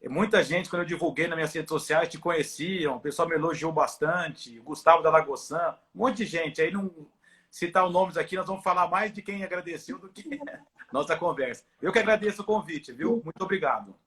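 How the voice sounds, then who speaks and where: A man's voice: on the high side, a little fast, fairly bright tone. A middle-aged man with a São Paulo countryside Brazilian accent, chatting in a friendly, informal way as an interview guest. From Ribeirão Preto, São Paulo, Brazil. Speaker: guest, football club doctor. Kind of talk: casual interview chat. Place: at home on a video call.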